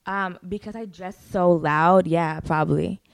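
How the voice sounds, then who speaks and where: A woman's voice: fairly low pitch, measured pace, vocal fry, clean tone, no echo. A young woman, a singer, chatting casually as a guest, laid-back and full of "like" fillers and swearing. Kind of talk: casual interview chat.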